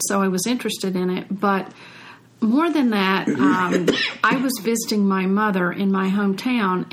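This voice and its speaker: An older woman from North Carolina speaks at a medium pitch. She is an author and interview guest, recounting her own experiences in a conversational way. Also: slight background noise, rough recording. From North Carolina, United States. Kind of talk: conversational personal storytelling.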